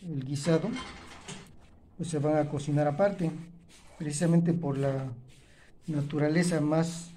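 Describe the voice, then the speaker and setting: Mellow man explaining food. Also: a little high, steady tone, unhurried, pauses often. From Oaxaca, Mexico. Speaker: home cooking vlogger. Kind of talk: casual explanatory cooking narration. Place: indoors at a home kitchen table.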